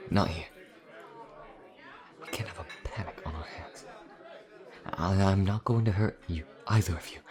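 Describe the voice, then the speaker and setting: An actor playing a nervous, earnest vampire traveler in a fantasy audio roleplay, speaking hesitantly with stammers. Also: male voice, sits low, lightly acted. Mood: anxious pleading.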